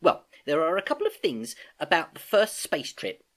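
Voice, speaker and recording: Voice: medium pitch, very animated, moderate pace, fairly bright tone, voice pushed up. A storybook reader voicing an earnest, curious schoolgirl niece, eager and questioning, easily exasperated. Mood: earnest, puzzled.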